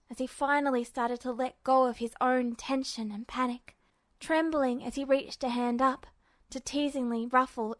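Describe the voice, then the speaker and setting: High-voiced woman narrating a story. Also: dry recording. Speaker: fan fiction audiobook reader. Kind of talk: expressive scripted story narration.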